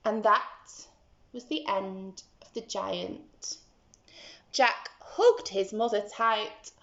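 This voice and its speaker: A woman's voice: mid-range voice, very slow, a bit bright, roomy sound, muffled recording. A woman teacher reading a story to young children, expressively and with dramatic emphasis.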